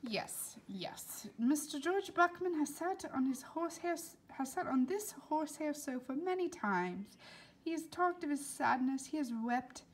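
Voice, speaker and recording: A woman voicing an elderly foreign woman doctor in a storybook, warm and philosophical, with emphatic, oddly formal phrasing. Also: sits high, lively intonation, measured pace, voice pushed up. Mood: earnest. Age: older.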